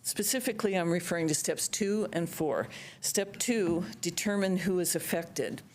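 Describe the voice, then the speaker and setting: A woman's voice: lowish voice, normal speed, darkish tone. A woman speaking for a local bird society, giving an earnest, carefully read public comment at a board meeting. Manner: earnest, reads carefully with some fillers.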